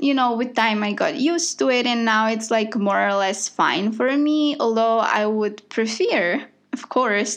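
A woman's voice: a little high, fairly bright tone. A woman from Bosnia co-hosting a casual conversation, chatty and full of "like" and "you know". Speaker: podcast co-host.

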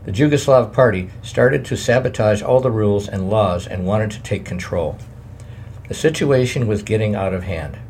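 A man reading a wartime memoir aloud in a plain, measured way, adding his own editor's notes. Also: lowish voice, expressive, a little mellow, slight background noise.

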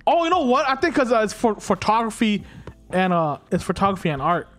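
Excitable high-voiced young man. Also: brisk, soft background music. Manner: excitable, slangy, playful. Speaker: podcast co-host. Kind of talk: casual chat among friends.

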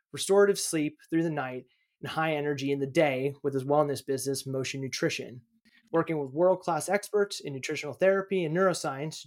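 Podcast host man with a slightly high voice. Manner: reads a scripted intro, then chats with restarts and fillers. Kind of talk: podcast interview.

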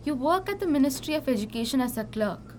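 A woman's voice: high-pitched, lively intonation, quick pace. A young woman playing a discontented, reproachful wife who longs for luxury, in an amateur radio drama. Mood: bitter, reproachful. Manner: reproachful, sighing, dissatisfied. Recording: noisy amateur recording.

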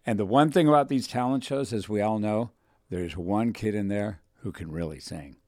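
Animated middle-aged male radio host. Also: lowish voice, normal speed, no room sound, clean studio sound. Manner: animated storyteller, puts on voices for quotes. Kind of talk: casual radio show chat. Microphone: studio microphone.

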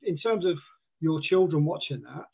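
A man's voice: a little high, expressive, normal speed, gravelly, breathy edge. A man from Wales with a mixed Welsh and southern English accent, chatting casually as a podcast guest and often restarting his sentences. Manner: chatty, many restarts and acted quotes. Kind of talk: casual chat. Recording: tinny phone-like line.

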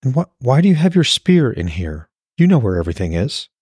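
An anxious woman of a fantasy tale, acted by a male narrator, speaks in a raised, slightly husky pitch. She is wary and questioning, hesitating over her words in a dry, close audiobook recording. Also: very animated, a little fast, darkish tone, dry recording. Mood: alarmed, nervous.

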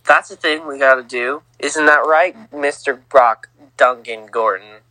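A young man co-hosting a casual sports podcast, teasing and lively, on a home mic. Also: mid-range voice, unhurried, no echo, poor audio. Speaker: podcast co-host.

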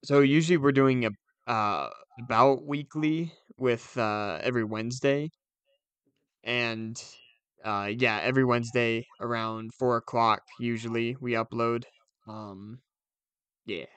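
A man's voice: mid-range voice, fairly even intonation, unhurried, a bit bright, smooth, no room sound. A young man co-hosting a podcast in casual, conversational chat. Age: young adult. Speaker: podcast co-host.

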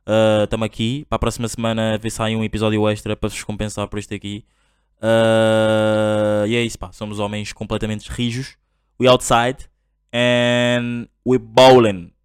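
A man's voice: lowish voice, completely flat delivery, smooth, no room sound, studio quality. A young man from Angola hosting a podcast, talking casually and loosely with lots of slang fillers. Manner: slangy, drawn-out "tipo" and "bro". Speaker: podcast host.

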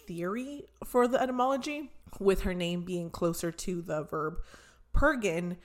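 Hoarse woman, casual podcast co-host, animated storyteller. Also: lowish voice, measured pace. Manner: animated, laughs often, swears casually.

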